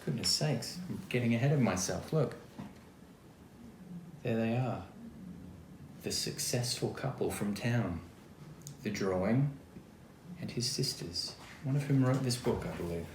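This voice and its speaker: An Australian-accented man reading a picture book to children as an actor, expressive and chatty, speaking straight to his young listeners. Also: mid-range voice, a little slow, pauses often. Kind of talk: children's storytime read-aloud with casual asides. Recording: roomy echo, clear background noise.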